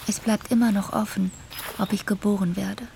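Creaky, flat-toned introspective woman acted. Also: medium pitch, moderate pace, a little hiss or hum, lightly acted. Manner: restrained, introspective. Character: introspective solitary woman recalling memory and family. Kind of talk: radio play monologue.

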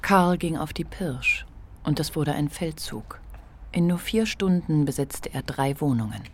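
An actress playing the composed narrator of a literary radio drama. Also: female voice, sits low, expressive, unhurried, slight background noise. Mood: composed, storytelling.

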